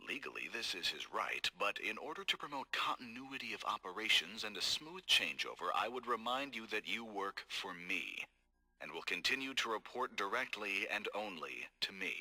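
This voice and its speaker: A man voicing a cold, scheming corporate executive in game audio logs, calm, measured and formal. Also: lowish voice, breathy edge, heavily acted. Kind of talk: recorded game audio log.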